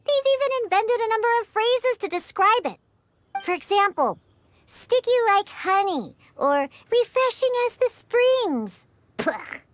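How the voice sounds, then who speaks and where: A woman's voice: very animated, measured pace, slight background noise, exaggerated voice. A woman voicing a feisty young catgirl bartender in a video game, with a childlike voice and an emphatic, indignant manner. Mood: disdainful. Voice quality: high, bright, childlike. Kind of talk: animated video game character acting.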